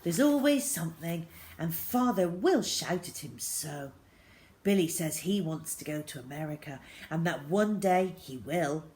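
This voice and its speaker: Low-voiced woman reading a story, noisy recording. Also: expressive, unhurried, a little mellow. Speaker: storyteller reading a children's novel aloud. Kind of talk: expressive first-person diary storytelling, read aloud.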